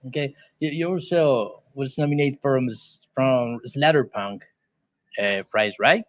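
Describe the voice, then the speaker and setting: A Colombian man with a Spanish accent moderating an online panel in halting English. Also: mid-range voice, dry recording, highs cut off. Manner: halting second-language English. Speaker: panel moderator, science fiction editor. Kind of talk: hosting an online panel discussion.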